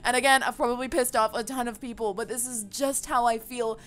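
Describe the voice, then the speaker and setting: A woman's voice: on the high side, fairly even intonation, a little fast, a bit bright. A woman giving a casual, opinionated rant to viewers, passionate and emphatic, with loose swearing, as a gaming video creator.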